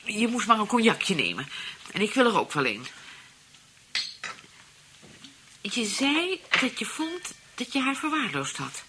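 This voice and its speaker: Shrewd wife, lively swooping voice. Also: female voice, fairly high pitch, normal speed, noisy background. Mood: wry. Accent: Dutch (Netherlands). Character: shrewd, impatient wife with an eye on inheritance. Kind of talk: radio play acting.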